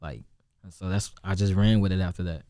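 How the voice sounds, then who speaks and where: A young man from Chicago with a Chicago accent and a deep voice, chatting loosely as a rapper guest, with many restarts and fillers. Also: steady tone, a little fast, mellow, dry recording, clean studio sound. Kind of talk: casual interview chat.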